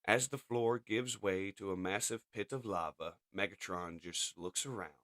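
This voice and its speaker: A man's voice: low voice, moderate pace, a bit bright, no room sound, studio quality. A man narrating a comic as scripted drama in a theatrical, emphatic way.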